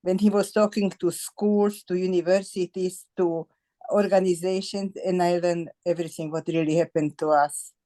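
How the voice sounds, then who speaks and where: An elderly woman with a Slovak accent recounts her own life. Her voice is soft-edged and relaxed, and she searches for words in her second language as she gives testimony. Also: lowish voice. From Bratislava, Slovakia. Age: 80s. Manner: halting, searching for words in non-native English. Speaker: Holocaust survivor giving testimony. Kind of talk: personal testimony in a group discussion.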